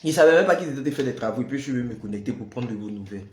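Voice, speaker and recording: A man's voice: medium pitch, lively intonation, rapid-fire, a little mellow, reverberant. A man with the flu, leading a prayer livestream and chatting casually with viewers, greeting them by name. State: has the flu. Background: noise of building work.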